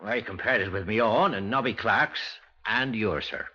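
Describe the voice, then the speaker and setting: An actor playing a dutiful, plain-spoken British police officer in a 1950s radio drama, colloquial and down-to-earth. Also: male voice, on the low side, normal speed, bright, forceful tone. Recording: dull, lo-fi 1950s radio recording.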